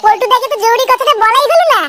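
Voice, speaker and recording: Excitable young gossip, tiny pitched-up cartoon voice, quick crude chatter, very noisy soundtrack. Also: male voice. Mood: eager gossiping. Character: gossipy, foul-mouthed young male club sidekick. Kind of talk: comic cartoon dialogue.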